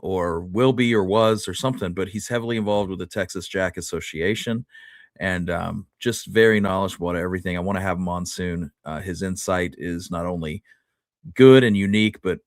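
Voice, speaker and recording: A man co-hosting a history show in casual chat, informal and halting as he corrects himself. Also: low-pitched, dry recording, clean studio sound. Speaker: co-host of a history talk show.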